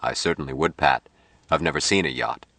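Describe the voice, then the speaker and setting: A serene, innocent young man from Mars in an audiobook, as a male narrator plays him, very low and soft. He speaks slowly and literally, with solemn, gentle certainty. The recording is dry. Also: dry recording, heavily acted. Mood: mild.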